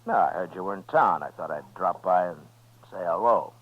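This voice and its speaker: A man playing a calm, steely American Old West marshal in a 1950s radio western, speaking in a laconic, measured way. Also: low voice, no room sound. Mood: dryly cool. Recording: dull vintage radio recording.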